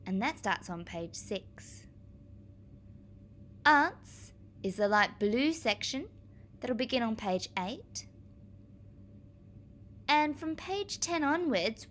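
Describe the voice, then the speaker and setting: A woman teacher reading aloud to young children, explaining as she goes in a clear, expressive manner. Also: fairly high pitch, slight background noise.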